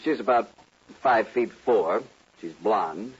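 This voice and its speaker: An actor playing a stiff, demanding gentleman from the East in a 1950s radio western, formal and impatient. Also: fairly low pitch, expressive, takes it slowly, slightly rough, full character voice. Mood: urgent, haughty. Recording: muffled vintage radio recording.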